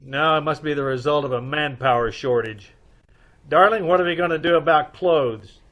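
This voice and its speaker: A man's voice: fairly high pitch, moderate pace, a bit bright, lightly acted. A man playing a dry, wisecracking, level-headed married detective in old-time radio style, wry and matter-of-fact. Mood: wry, affectionate.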